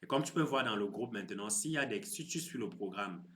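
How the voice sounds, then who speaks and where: A man in his thirties hosting a crypto live stream, chatting with viewers in a relaxed, teasing way and explaining his course. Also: fairly low pitch, brisk. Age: 30s. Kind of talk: casual live stream chat.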